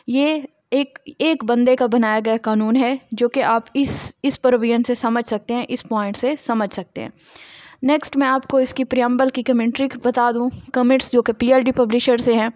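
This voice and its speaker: Woman, Pakistani accent, high-pitched voice, didactic law lecturer, explanatory question-and-answer delivery, dull dry recording. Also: a little mellow, dry recording. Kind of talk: scripted-outline lecture explaining a statute, reading clauses aloud.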